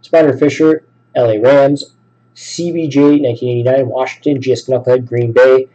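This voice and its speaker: A man hosting a sports card break, a bit sick with a raspy voice, listing names and teams and chatting casually. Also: mid-range voice, fairly even intonation, normal speed, mellow, highs cut off. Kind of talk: live card break commentary.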